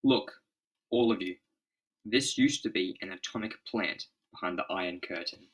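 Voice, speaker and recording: A man's voice: deep voice, moderate pace, poor audio. Man acting earnest, authoritative scientist leader. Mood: urgent, grave. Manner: earnest, declarative. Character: authoritative male scientist leader, urgent and serious.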